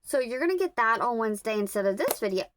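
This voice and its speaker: A woman vlogging casually about her crochet projects, rambling and correcting herself as she goes, her throat a little rough. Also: a little high, sing-song, fast talker, slight background noise. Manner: rambling, self-correcting, talks to viewers while working. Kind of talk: casual chatty vlog.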